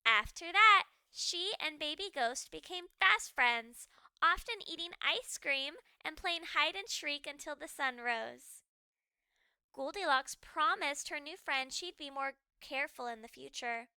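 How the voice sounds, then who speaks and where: A woman storyteller reading a children's book aloud with expressive, dramatic and deliberately phrased narration. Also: high-pitched, no room sound.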